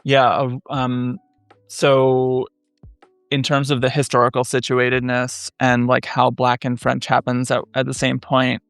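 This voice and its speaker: A man in his thirties speaking as a scholar guest in a podcast interview, measured and thoughtful, pausing often with fillers. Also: medium pitch, completely flat delivery, a bit bright, smooth, dry recording, studio quality, faint music behind. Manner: thoughtful, many "um" pauses, measured. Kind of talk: academic interview conversation.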